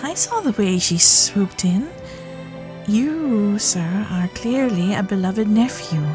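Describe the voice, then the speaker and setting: A woman voicing a frank, flustered young Gilded Age society lady in a romantic ASMR audio drama, speaking earnestly in formal period phrasing with breathy asides. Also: medium pitch, lively intonation, slow pace, over music. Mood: teasing, candid.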